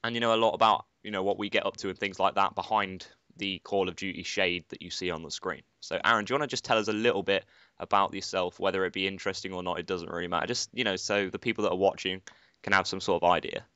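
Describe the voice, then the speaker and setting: A man's voice: sits low, steady tone, fast talker, bright, forceful tone, clear, resonant tone, no room sound, muffled recording. A teenage boy hosting a casual gaming commentary, chatty and rambling with lots of filler phrases. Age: teens. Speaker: channel host, gamer.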